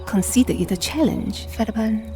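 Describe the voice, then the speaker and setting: An actress playing a stern, commanding wartime partisan leader with a firm, challenging manner in a game cutscene. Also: female voice, mid-range voice, expressive, moderate pace, a little mellow, faint music behind, natural voice. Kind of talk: video game cutscene acting.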